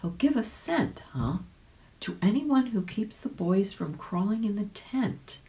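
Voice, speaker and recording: A woman voicing a plucky, good-natured old gentleman rabbit, musing earnestly in a storybook reading. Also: mid-range voice, sing-song, a little slow, voice pushed up, rough recording. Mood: curious.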